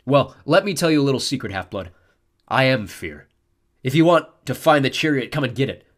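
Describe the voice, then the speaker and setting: A man's voice: mid-range voice, sing-song, brisk, pitched above the performer's own voice. A man voicing a sneering teenage god of fear who taunts and mocks. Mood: taunting, smug. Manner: taunting, mocking, smug. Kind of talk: audiobook character voice.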